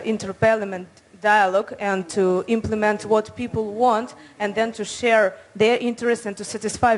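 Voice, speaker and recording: A woman speaking up from the audience at a conference. Her voice sits at a middle pitch, and her phrasing is earnest and a little halting, with turns of phrase. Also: low-quality recording. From Ukraine. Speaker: audience member. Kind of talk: conference floor comment.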